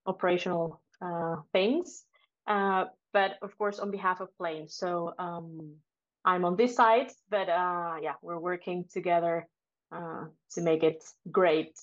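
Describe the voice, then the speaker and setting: Halting woman, slightly muffled. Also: lowish voice. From Argentina. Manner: halting, searching for words in English. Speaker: board member, guest. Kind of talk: podcast interview.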